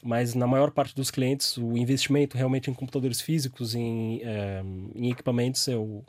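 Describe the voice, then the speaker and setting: A young man with a Brazilian Portuguese accent answers interview questions about his company, searching for words and restarting sentences often. His pitch is middling for a man. The recording is close and dry. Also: little pitch movement, moderate pace, no room sound. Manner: hesitant, many fillers and restarts. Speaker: startup founder.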